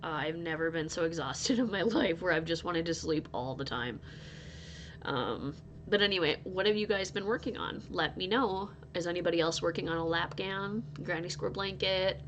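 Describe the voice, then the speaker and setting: A woman's voice: fairly low pitch, normal speed, a bit bright, muffled recording, a little hiss or hum. Chatty sniffly woman vlogging from home. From North Dakota. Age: adult, married. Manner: rambling, chatty, with frequent restarts and laughs. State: stuffy and recovering from illness. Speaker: crochet vlogger. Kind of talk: casual chat to viewers.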